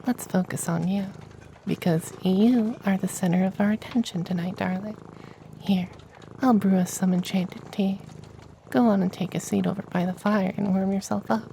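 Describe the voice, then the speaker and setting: A performer voicing a kindly, doting femboy witch in a hushed, affectionate ASMR roleplay. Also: medium pitch, fairly even intonation, moderate pace, creaky, slight background noise, natural voice, poor audio.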